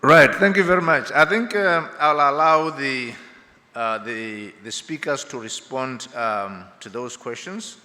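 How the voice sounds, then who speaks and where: A male panel moderator with a bright, projecting voice at medium pitch. He is polite and halting as he passes questions along. Long conference-hall reverb surrounds him. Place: large conference hall, through PA.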